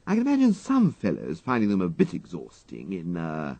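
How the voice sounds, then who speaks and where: A man voicing an excitable, flustered young upper-class English gentleman with an exclamatory manner and dated slang, in an audiobook. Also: deep voice, fairly quick, gravelly, no room sound, full character voice. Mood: bashful, uneasy.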